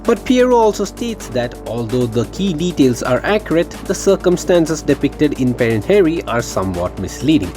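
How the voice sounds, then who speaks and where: Male lore-video narrator, mid-pitched, very lively and dramatic delivery, music underneath. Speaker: video game lore YouTuber. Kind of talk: scripted narration of game lore theory.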